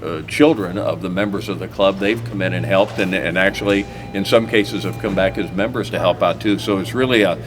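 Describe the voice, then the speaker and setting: A man's voice: low voice, fairly quick, hardly any pauses, over music. A man hosting a local TV interview, talking in a rambling way with many fillers and restarts. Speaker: talk show host. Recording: noisy recording.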